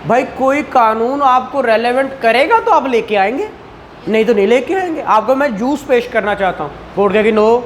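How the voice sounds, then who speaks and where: Woman, Pakistani accent, fairly high voice, law lecturer, conversational teaching style, English terms mixed in, faint background noise. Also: animated, normal speed. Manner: conversational, frequent rhetorical questions and acted-out dialogue; code-switches into English terms. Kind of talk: classroom lecture with questions to students.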